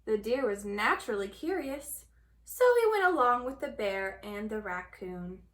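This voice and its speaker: A woman reading a children's story aloud in an expressive storytelling manner. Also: medium pitch, normal speed. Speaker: storybook reader.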